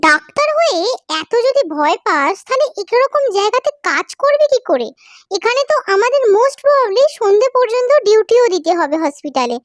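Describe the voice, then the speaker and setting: A woman's voice: extremely high pitch, big pitch swings, normal speed. An actress playing a young woman doctor in a cartoon horror story, worried, irritable and dramatic. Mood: alarmed, questioning. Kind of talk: acted Bengali cartoon horror story dialogue.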